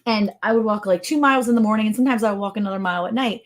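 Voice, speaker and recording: A woman's voice: medium pitch, rapid-fire. Chatty woman in her forties. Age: 40s. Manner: chatty, teasing, greets viewers by name. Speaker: co-host of a ranch livestream. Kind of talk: casual livestream chat.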